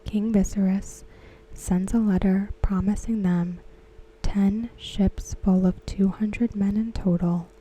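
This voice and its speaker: A woman whispering softly and slowly as she recaps and discusses a TV episode for an ASMR video. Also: medium pitch, fairly even intonation, poor audio. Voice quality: breathy. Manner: slow, soft whispering. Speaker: ASMR creator. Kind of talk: soft-spoken ASMR recap.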